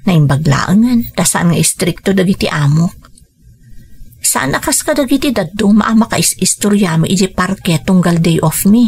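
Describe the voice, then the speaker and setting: A woman's voice: on the low side, expressive, normal speed, gravelly, darkish tone, breathy edge, exaggerated voice. A woman playing a weary, dutiful younger sister working abroad in a radio drama, earnest and plaintive, with an Ilocano accent. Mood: tired.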